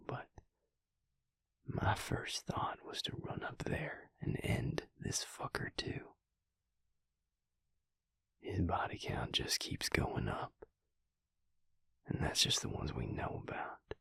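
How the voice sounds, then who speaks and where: A man playing a protective, brooding vampire lover in an intimate audio roleplay, with a husky, breathy voice and a hushed, intense, deliberate delivery. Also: dry recording, full character voice. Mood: tender, pleading, then grave, urgent. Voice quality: husky, breathy, gravelly low voice. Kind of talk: intimate audio roleplay acting, speaking to the listener.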